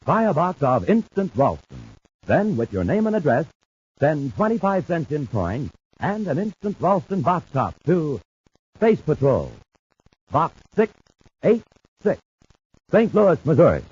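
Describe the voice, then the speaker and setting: A man pitching a product as a 1950s radio announcer, with emphatic, measured sales delivery. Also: sing-song, darkish tone, breathy edge. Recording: dull 1950s radio broadcast recording.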